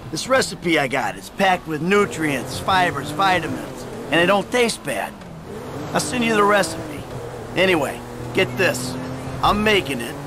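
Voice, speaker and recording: A man's voice: high voice, noisy background. An actor playing a defensive, exasperated middle-aged retired criminal and father in a video game, sounding weary and irritable. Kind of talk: video game dramatic acting.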